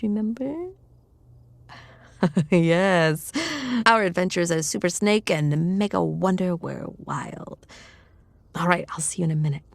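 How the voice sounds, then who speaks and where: A woman acting a playful, affectionate snake-woman in an intimate roleplay audio, teasing and cozy, often slipping into breathy whispers. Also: lowish voice, darkish tone, no room sound, full character voice.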